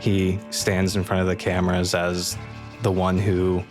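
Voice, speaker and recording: Very deep-voiced male game narrator. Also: monotone, unhurried, soft background music. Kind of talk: improvised roleplaying game narration.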